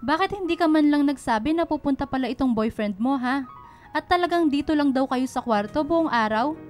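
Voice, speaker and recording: Radio drama mother: Filipino, high voice, stern yet caring, quick to question, music underneath. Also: animated, darkish tone. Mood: stern, suspicious. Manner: scolding, questioning, maternal. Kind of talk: Tagalog radio drama acting.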